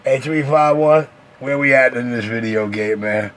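A man's voice: mid-range voice, moderate pace, fairly bright tone. A man talking slangy CB radio chatter in a boastful, swaggering patter. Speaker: CB radio operator. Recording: noisy CB radio with static.

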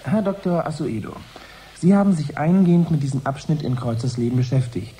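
An actor playing a composed broadcast host in a radio drama, interviewing guests with formal courtesy. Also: male voice, a little high, brisk, mellow, natural voice, rough recording. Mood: composed, inquiring. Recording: faint background noise.

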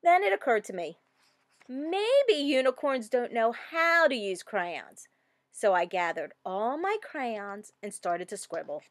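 Slow, highly expressive woman storyteller. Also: no echo. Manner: expressive, dramatic emphasis. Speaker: storybook reader. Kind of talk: read-aloud children's story narration.

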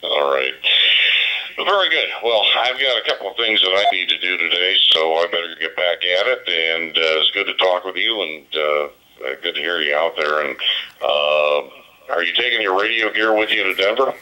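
Very deep man on a harsh digital radio. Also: normal speed, bright, forceful tone, clean tone, poor audio. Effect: digital two-way radio. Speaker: amateur radio operator. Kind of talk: casual radio chat. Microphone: voice played through portable radio speaker. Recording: digital handheld radio speaker.

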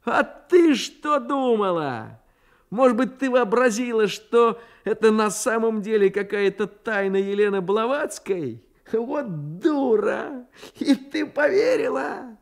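A man voicing a cocky, gloating schoolboy in a radio story, taunting and declamatory with drawn-out words. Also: sits high, lively intonation, voice pushed up, full character voice. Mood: jeering.

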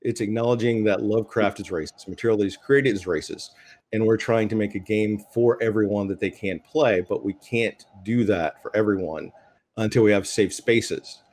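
A middle-aged man speaking in a measured, serious way as a panelist on a video call. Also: fairly low pitch, a little mellow. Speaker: panelist, game creator. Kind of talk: panel discussion, earnest opinion. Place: room at home, on a video call. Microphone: video call microphone.

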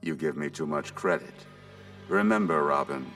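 An actor playing a cold, menacing supervillain mastermind in a video game, deliberate and taunting. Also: male voice, extremely low pitch, a bit bright, distant mic, faint music behind. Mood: cold menace. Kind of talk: video game cutscene acting.

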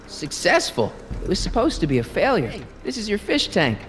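Earnest teen boy for a video game, high voice all over the place, pleading, big reverb. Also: huge pitch swings, brisk, fairly bright tone, slight background noise, exaggerated voice. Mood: insistent, puzzled. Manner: casual, pleading, incredulous. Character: earnest, exasperated teenage boy time traveler. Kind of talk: animated video game acting. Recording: long hall reverb, faint music.

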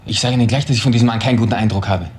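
An actor playing an indignant grown son in a crime radio play, defensive and emphatic. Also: male voice, mid-range voice, racing, close to an everyday voice. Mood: guarded. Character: indignant grown son, bitter about criminals. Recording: faint background noise.

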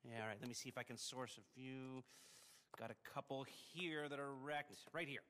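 An actor playing a neurotic, bitter middle-aged American criminal planner in a video game, whiny and sarcastic. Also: male voice, medium pitch, normal speed, sharp tone, in a large hall, a little hiss or hum, lightly acted. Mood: harried, sarcastic. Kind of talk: video game cutscene acting.

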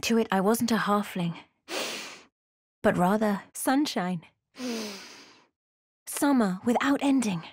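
A woman narrating a fantasy game as a solemn storyteller, in a measured, dramatic manner. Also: medium pitch, husky, dry recording, studio quality.

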